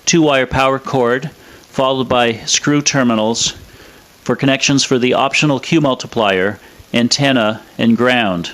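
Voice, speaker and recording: A man presenting a scripted technical explainer in a measured, matter-of-fact way. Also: medium pitch, fairly bright tone. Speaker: presenter of an electronics review.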